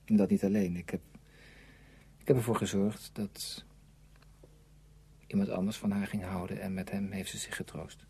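An actor playing a brooding, self-tormented novelist in a radio play, speaking in an introspective, deliberate way. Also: male voice, sits low, little pitch movement, gravelly, dark tone, husky, heavily acted. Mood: anguished, confessional. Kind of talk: Dutch radio play acting.

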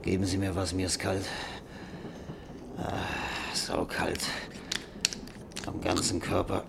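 An actor playing an ailing, proud, irritable older writer in a German radio drama, grumbling and self-mocking in a weary manner. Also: male voice, sits low, level tone, takes it slowly, vocal fry, heavily acted. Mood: demanding, grumbling. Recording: noisy radio drama recording.

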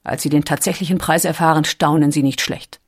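A narrator giving scripted documentary narration with an even, steady reading. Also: medium pitch, brisk.

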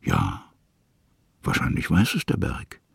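A reflective storyteller in a radio play. His voice is very husky and crackles with heavy fry, recorded close and dry. He sets out each image in short, measured fragments. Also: a bass voice, huge pitch swings, no room sound, heavily acted. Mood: musing. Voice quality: gravelly, husky. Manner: measured, musing, fragmentary phrasing. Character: slow, musing male storyteller. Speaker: narrator. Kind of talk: literary radio drama narration in present tense.

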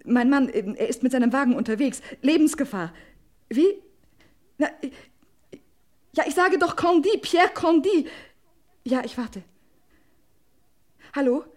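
High-voiced, anxious wife. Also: female voice, lively intonation, measured pace, pauses often, darkish tone. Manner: agitated, urgent, breaking off mid-sentence. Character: anxious, pleading wife desperate to save her husband. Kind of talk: old German radio crime play acting.

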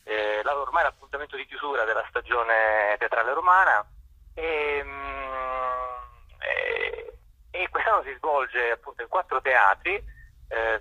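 A man giving unscripted, halting answers as a guest in a radio interview, searching for words. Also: medium pitch, bright, forceful tone, no echo, low-quality recording. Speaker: theatre festival director, interview guest.

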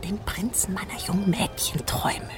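A woman's voice: medium pitch, normal speed, in a whisper, lightly acted. An actress playing a romantic young woman in love in a German radio play, tender and intimate. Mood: tender, dreamy.

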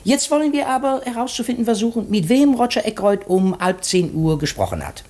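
Husky, pompous little detective. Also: male voice, high-pitched, sing-song, fairly quick, a little mellow. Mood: probing, intrigued. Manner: pompous, cryptic, lecturing. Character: vain little male master detective, pompous and shrewd. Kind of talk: 1950s German radio play acting.

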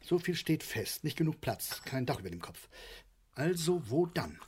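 A middle-aged family father in a satirical radio play. His voice sits fairly high and sounds husky. He is grandiose and money-hungry, arguing with bluster. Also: sing-song, normal speed, a little mellow, full character voice. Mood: grandiose scheming. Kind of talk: German radio play acting, satirical comedy.

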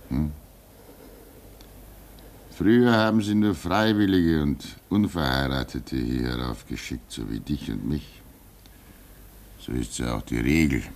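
An actor playing a calm, fatherly old radio operator in a German radio drama, soothing and patient in a deliberate mid-century style. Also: a bass voice, full character voice. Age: older.

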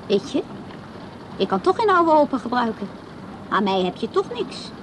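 A woman's voice: on the high side, big pitch swings, moderate pace, noisy background. An actress playing a teasing, blunt young woman in a radio drama, probing and needling. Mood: dismissive, blunt.

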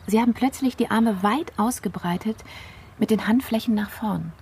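An actress playing a composed female investigator in a crime radio play, speaking in a factual, firm, measured way. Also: fairly high pitch, darkish tone, a little hiss or hum, natural voice. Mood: calm, insistent. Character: composed, matter-of-fact female criminal investigator. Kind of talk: dramatic radio play acting.

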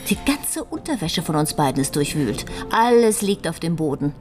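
A woman's voice: sits low, big pitch swings, normal speed, over music. An actress in a radio crime play playing a tired, complaining woman hotel guest with an indignant manner. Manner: grumbling, indignant.

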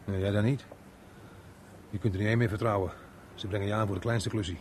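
Actor as low-voiced, insinuating pushy man. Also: fairly even intonation, fast, background noise, close to an everyday voice. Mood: insinuating, menacing. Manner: insinuating, rough, colloquial. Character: pushy, menacing male visitor, suspicious and insinuating. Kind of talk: radio drama acting.

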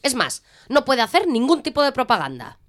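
An actress from playing a stern, evasive school headmistress in an audio drama, formal and admonishing. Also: female voice, high voice, sing-song, fairly quick. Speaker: actor.

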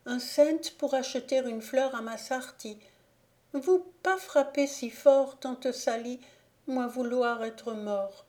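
A woman's voice: on the high side, darkish tone, voice pushed up. A woman voicing a delirious feverish little boy. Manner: raised, breathless, pleading delivery. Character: feverish delirious young boy, frightened and pleading.

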